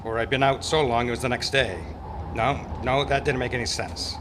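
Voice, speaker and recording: A man's voice: medium pitch, normal speed, lightly acted. A man playing a tough, gallant private eye in an old-time radio drama, with a wry, world-weary, slangy delivery. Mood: groggy, narrating. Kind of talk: hardboiled radio detective narration and dialogue. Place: club meeting, live performance before microphone. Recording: noisy amateur live stage recording.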